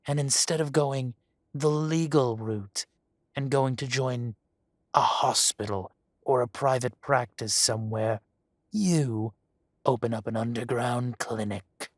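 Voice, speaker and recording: A man voicing a male dragon who poses as a mafia boss, speaking with slow, purring, mocking menace. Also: medium pitch, dry recording, studio quality, natural voice. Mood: silky menace. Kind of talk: roleplay audio drama.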